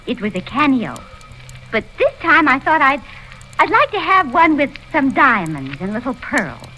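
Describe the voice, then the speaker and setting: A woman's voice: sits high, sing-song, moderate pace, sharp tone, full character voice, rough recording. An actress playing a deferential but sly housemaid, wheedling her mistress in an old radio drama. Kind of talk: 1940s radio acting. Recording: faintly hissy old radio recording.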